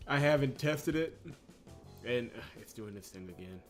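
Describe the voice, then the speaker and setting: A man's voice: mid-range voice, animated, normal speed, soft background music. A man hosting a video game playthrough, talking casually in an exasperated, grumbling manner. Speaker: host of a visual novel playthrough channel. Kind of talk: casual gameplay commentary.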